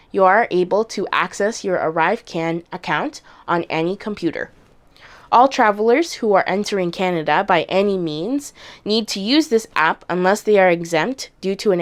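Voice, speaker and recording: A woman reading a scripted informational segment in an even, measured way. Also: on the low side. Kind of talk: scripted narration.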